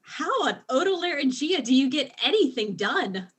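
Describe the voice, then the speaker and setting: An actress playing a tipsy, hapless, naive alien spy in an audio drama, rambling as if drunk. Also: female voice, high-pitched, big pitch swings, normal speed. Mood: tipsy, self-pitying. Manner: slurred, rambling drunk talk.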